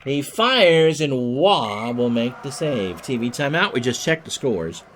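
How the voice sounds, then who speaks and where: A man's voice: medium pitch, very animated, unhurried, fairly bright tone, a little hiss or hum. A man doing chatty play-by-play hockey commentary, mixing clipped calls of the action with joking asides.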